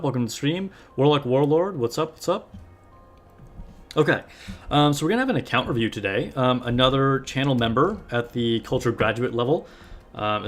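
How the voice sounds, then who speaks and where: A man with a slightly scratchy voice chatting casually and informally as he hosts a live stream and greets viewers. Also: medium pitch. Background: music.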